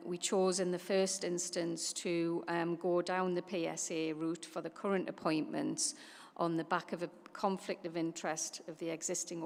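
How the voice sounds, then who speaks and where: Woman finance officer, flat delivery, gravelly, echoey chamber. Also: fairly low pitch. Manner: hesitant, many ums, formal. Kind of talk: briefing a meeting.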